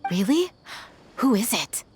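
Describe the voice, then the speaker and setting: A woman's voice: a little high, very animated, measured pace, a little mellow, a little hiss or hum. An actress playing a curious, eager female student in a video game, inquisitive in manner. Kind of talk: video game character dialogue.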